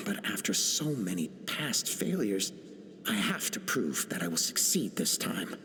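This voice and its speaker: A man's voice: medium pitch, fairly bright tone. Driven inventor reading his logs. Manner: reading journal entries, driven and intent. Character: ambitious male inventor obsessed with flight. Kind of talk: video game dialogue. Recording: long hall reverb.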